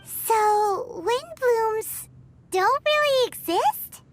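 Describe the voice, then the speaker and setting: A woman voicing a cheeky, excitable little fairy companion girl in a video game, with a squeaky childlike voice. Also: very slow, exaggerated voice. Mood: curious, cheeky. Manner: exclamatory, cheeky. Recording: loud music behind the voice.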